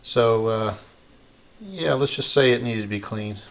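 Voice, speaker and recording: A man's voice: mid-range voice, a little slow, slight background noise. A man giving a casual hands-on repair walkthrough, unscripted and thinking aloud as he works. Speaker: repair channel host.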